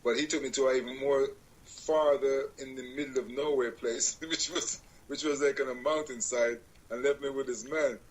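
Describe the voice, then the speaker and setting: A man's voice: mid-range voice, steady tone, normal speed, rough recording. A man from Jamaica, a guest on a radio show, telling his life story in a rambling way, correcting himself and laughing at his own jokes. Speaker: guest musician. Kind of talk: casual interview conversation.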